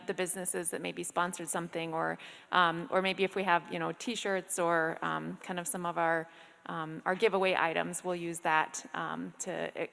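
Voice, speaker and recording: A woman's voice: lowish voice, gravelly, fairly bright tone, big-room sound. A woman organizing a contest, answering an audience question hesitantly with many fillers.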